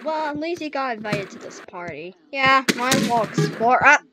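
A woman's voice: sits high, expressive, normal speed. A woman improvising a dramatic role-play during a video game, exclaiming as she goes. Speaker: gameplay role-player. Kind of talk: improvised gaming role-play with toy characters. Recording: noisy game capture with clicks and gunfire.

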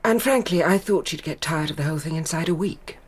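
Composed grieving English mother, formal Victorian manner, husky, for radio drama. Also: deep voice, fairly quick. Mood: grave, restrained. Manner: formal, restrained.

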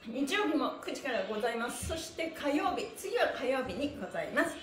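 A middle-aged woman with a high-pitched voice guides a livestreamed exercise class. She is cheerful, polite and full of encouragement. The mic sits well away from her, so the bare room echoes around her words. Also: sing-song, a little fast, faint music behind. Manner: cheerful, encouraging, laughing often; polite, playfully adds honorifics to body parts. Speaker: stretching instructor, livestream host. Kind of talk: live exercise class with counted chants. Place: small bare-walled room with wooden floor, indoors. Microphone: camera mic a few metres away.

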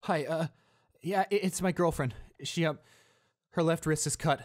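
A man playing a devoted, anxious young boyfriend in an intimate audio roleplay, speaking tenderly and haltingly with repeated reassurances. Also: on the high side, lightly acted. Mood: anxious, urgent. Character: devoted, anxious young boyfriend comforting his hurt girlfriend. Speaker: voice actor.

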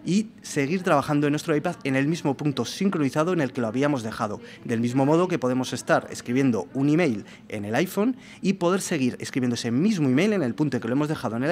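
A young man explains news to camera in the manner of a tech presenter. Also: a little high. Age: young adult. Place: meeting room with large window, indoors. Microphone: microphone on a desk arm. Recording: clear background room noise.

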